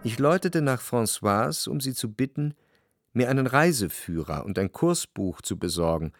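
An actor playing a sensitive, refined, melancholic narrator in a literary radio drama, speaking in a measured, lyrical way. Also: male voice, medium pitch, animated, soft background music, close to an everyday voice. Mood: yearning, reflective. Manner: measured, reflective, lyrical delivery.

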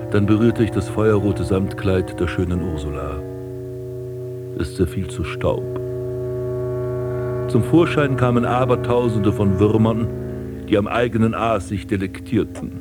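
Pompous, haughty aristocrat, music behind. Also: male voice, lowish voice. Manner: pompous, declamatory, ornate old-fashioned diction. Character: haughty aristocratic landscape gardener prince, vain and scornful. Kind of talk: literary radio drama acting, monologue and dialogue.

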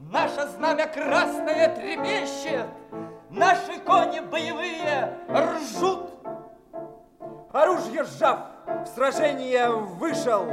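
An actor playing a fervent agitprop performer, declaiming revolutionary verse emphatically in a radio drama. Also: male voice, very high voice, exaggerated voice. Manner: rousing, emphatic, measured. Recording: piano music behind declamation.

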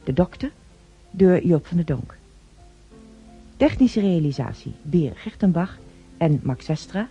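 Een vrouwelijke omroepster die voorgeschreven aftiteling van een hoorspel voorleest. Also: female voice, fairly low pitch, big pitch swings, unhurried, pauses often, warm tone, husky, lo-fi sound. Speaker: radio drama announcer. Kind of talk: scripted credits reading. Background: guitar music.

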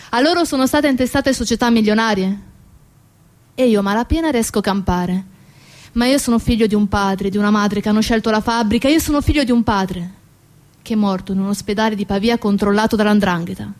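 A woman's voice: mid-range voice, a little mellow. A woman performing a scripted theatrical monologue as narrator, solemn and emphatic, with repeated phrases. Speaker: stage actor and narrator. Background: guitar music.

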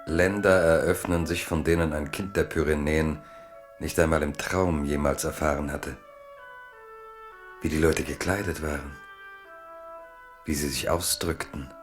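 A man narrating a historical tale in a German radio play as a measured, reflective storyteller. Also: rumbling low, steady tone. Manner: measured, contemplative. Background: music.

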